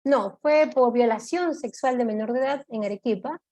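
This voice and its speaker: A woman giving an online law lecture, explaining step by step, often checking in with her listeners and pausing on "eh". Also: fairly high pitch, dark tone. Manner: explanatory, checks in with "¿de acuerdo?", frequent "eh" fillers.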